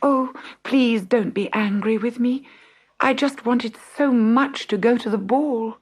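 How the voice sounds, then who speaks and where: A woman voicing a timid, gentle young servant girl in a storybook, pleading and wistful. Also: on the high side, slow, breathy edge, voice pushed up.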